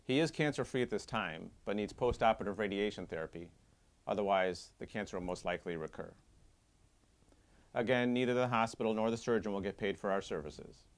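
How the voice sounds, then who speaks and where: A man in his fifties, a surgeon, giving an earnest, measured scripted appeal from his office. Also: fairly low pitch. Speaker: head and neck surgeon. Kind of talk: scripted advocacy monologue. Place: doctor's office with diplomas.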